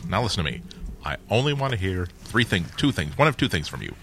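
A man with a mid-pitched, highly varied voice, riffing playfully in casual banter with a dry wit. Clear noise runs under the recording. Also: sing-song, fast.

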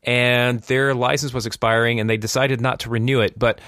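A man's voice: mid-range voice, level tone, normal speed, sharp tone, no echo. Chatty man hosting a podcast, dry close sound. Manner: chatty, explanatory, poses questions to co-host. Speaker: podcast host. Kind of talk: conversational news commentary.

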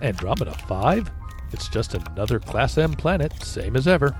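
Man playing older space scoutmaster, dry, wary, puzzled, very wide intonation, radio drama, soft music behind. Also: mid-range voice, big pitch swings, normal speed, natural voice. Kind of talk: retro radio adventure acting.

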